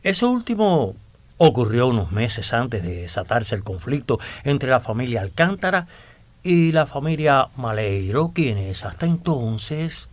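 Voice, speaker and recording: An actor in a radio drama playing a devout, talkative man who tells old secrets in a deliberate, dramatic way with hesitations. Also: mid-range voice, sing-song. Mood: confiding, suspenseful.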